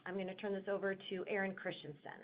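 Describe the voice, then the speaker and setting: A woman's voice: mid-range voice, little pitch movement, fast talker. A woman moderator on an earnings call, reading investor questions aloud. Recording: muffled phone line, faint noise.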